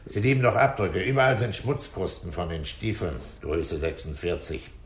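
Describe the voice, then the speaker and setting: An actor playing a gruff, commanding, unruffled police commissioner with a curt, dry delivery in a 1960s German radio crime drama. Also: male voice, low-pitched, animated, moderate pace. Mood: dry, brisk. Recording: roomy, echoing vintage radio play.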